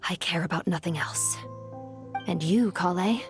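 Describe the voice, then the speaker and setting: A woman's voice: on the low side, a little slow, over music. An actress playing an ancient regal female djinn in a video game, speaking with poetic, formal grandeur and wistful devotion. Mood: yearning, resolute. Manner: poetic, formal, theatrical delivery.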